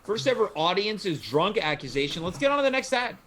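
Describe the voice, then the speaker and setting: A man's voice: high-pitched, big pitch swings, quick pace, fairly bright tone, slight background noise. A man hosting a casual livestream chat show, steering the conversation. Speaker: show host.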